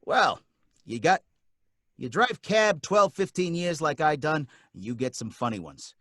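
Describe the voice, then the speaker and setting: A man's voice: on the high side, big pitch swings, measured pace, sharp tone, no echo, studio quality, pitched above the performer's own voice, exaggerated voice. A man playing a brash, world-weary working-class cab driver in a 1940s-style radio drama, with wry, slangy delivery. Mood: wry storytelling. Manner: streetwise, slangy, wry talk.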